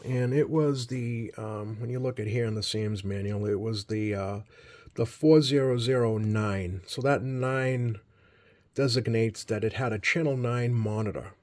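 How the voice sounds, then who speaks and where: A man hosting a hobby video with casual, unscripted commentary, talking in a halting, rambling way and often correcting himself. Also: medium pitch, a little mellow. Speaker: host of a radio hobby video.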